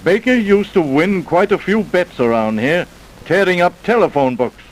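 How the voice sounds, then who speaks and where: An actor playing a thoughtful laboratory worker who speaks in a measured, musing way in an old radio drama. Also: male voice, high-pitched, animated, rough recording. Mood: puzzled, thoughtful.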